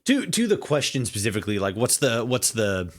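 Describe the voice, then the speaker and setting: Halting male podcast host, mid-pitched. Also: very animated. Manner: halting, hesitant, circling phrasing full of repeats. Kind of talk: casual interview chat.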